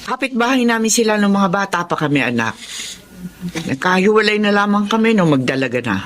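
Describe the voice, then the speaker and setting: Woman acting stern, expressive Filipino mother. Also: medium pitch, fairly quick, close to an everyday voice. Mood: firm. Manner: stern, admonishing, dramatic. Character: protective, stern middle-aged mother. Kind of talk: Filipino radio drama acting.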